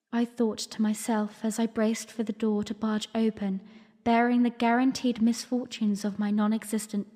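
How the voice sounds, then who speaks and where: Reverberant, mellow young princess played by a woman. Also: on the high side. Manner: formal, reflective storytelling. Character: young princess, earnest, weary, quietly defiant narrator. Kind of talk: first-person audio drama narration. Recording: long reverb, music underneath. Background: music.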